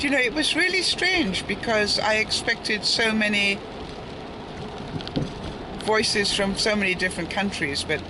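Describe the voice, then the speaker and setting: A woman, older, talking to viewers in a casual vlog while outdoors by a street in light rain, rambling along with asides and little chuckles. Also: mid-range voice. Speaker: daily vlogger. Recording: noisy outdoor street with passing cars. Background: traffic and street ambience.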